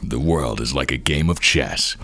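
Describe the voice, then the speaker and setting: Man acting a comic king, brooding, intense, portentous, low voice. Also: a little slow, bright, forceful tone, dry recording, exaggerated voice. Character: brooding, grandiose king musing on a conflicted world.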